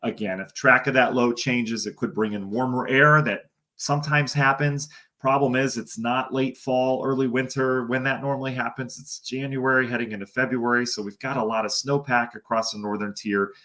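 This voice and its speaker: A hoarse man giving a casual live-streamed weather briefing, thinking aloud as he talks through forecast maps. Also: medium pitch, steady tone, moderate pace, bright, forceful tone. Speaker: TV meteorologist.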